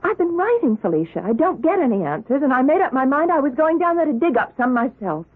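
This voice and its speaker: A woman's voice: high voice, very animated, fast talker. An actress playing a breezy, forthright woman doctor in an old-time radio drama, with a wry, teasing manner. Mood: concerned. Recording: muffled narrow-band old radio recording.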